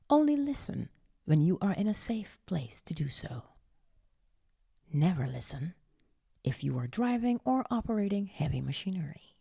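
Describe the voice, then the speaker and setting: A woman leading a guided meditation in a hushed, soothing, breathy and often whispered voice, coaxing gently with long pauses. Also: deep voice, no room sound, studio quality. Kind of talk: slow guided meditation narration, partly whispered.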